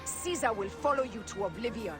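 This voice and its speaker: A woman's voice: on the high side, animated, brisk, close to an everyday voice. An actress playing a vengeful, grieving female warrior who threatens her enemy fiercely. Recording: game audio under loud music.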